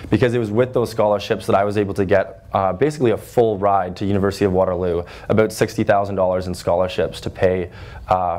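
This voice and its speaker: A man in his twenties from Northern Ontario with a loud voice, giving an earnest talk to a high school class with lots of fillers and restarts. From Northern Ontario, Canada. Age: 20s. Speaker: guest speaker. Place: high school classroom with chalkboards, audience seated.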